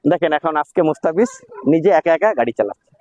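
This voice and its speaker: A man's voice: fairly high pitch, sing-song, quick pace, warm tone, no room sound, dull audio. A Bangladeshi man presenting as a driving instructor in a promotional vlog, with a repetitive, slogan-like sales delivery.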